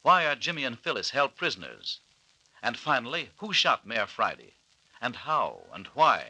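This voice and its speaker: A man narrating an old-time radio serial as announcer, with dramatic, portentous, deliberate phrasing. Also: mid-range voice, sing-song, sharp tone, low-quality recording. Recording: old radio recording with faint hiss.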